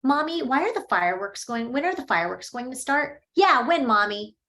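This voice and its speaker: A woman's voice: sits high, big pitch swings, fairly quick, sharp tone, pitched above the performer's own voice, exaggerated voice. A woman voicing a curious, impatient young child in a script reading.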